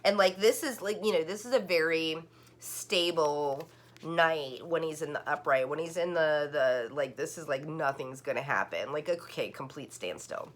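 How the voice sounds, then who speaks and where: A woman's voice: low voice, normal speed. A woman giving a casual online tarot reading, rambling and full of "like" fillers and restarts. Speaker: tarot card reader.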